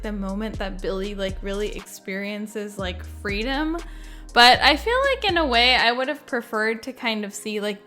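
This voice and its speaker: A woman's voice: mid-range voice, fairly bright tone. A woman hosting a gaming video, giving casual, unscripted reaction and ranking commentary in an informal, conversational way, thinking aloud.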